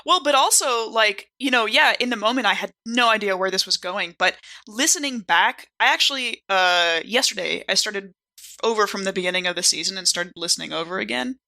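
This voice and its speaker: Very bright, animated woman chatting. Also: medium pitch, brisk, creaky. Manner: animated, giggly, uses like often. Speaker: podcast cast member. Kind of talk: casual group chat.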